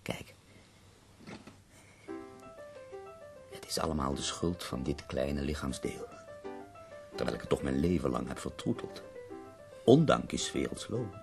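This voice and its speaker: An actor playing a dying, bedridden poet in a radio play, theatrical and wry, complaining with witty self-pity. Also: male voice, extremely low pitch, lively intonation, moderate pace, vocal fry, over music, full character voice. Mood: sardonic.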